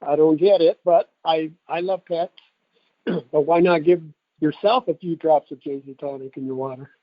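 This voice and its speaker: Middle-aged American male, slightly high voice, folksy rambling product pitch, thin phone-call sound. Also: steady tone, moderate pace, dry recording. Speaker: product promoter giving a testimonial on a conference call. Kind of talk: informal testimonial sales talk. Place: telephone conference call. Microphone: telephone. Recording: tinny band-limited phone line.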